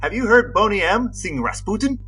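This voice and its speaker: A man's voice: high-pitched, lively intonation, a little fast, bright, forceful tone, faint music behind. An American man co-hosting a podcast in a playful, mock-serious way, part dramatic reading and part casual chat. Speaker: podcast co-host.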